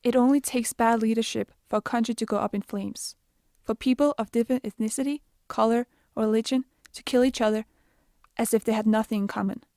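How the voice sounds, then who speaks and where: A young woman with a Bosnian accent reads her own story in an earnest, measured way. Her voice sits a little high and sounds mellow, and the recording is dry and close. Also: no echo. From Denmark. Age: young adult. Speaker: youth activist. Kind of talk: scripted personal narration.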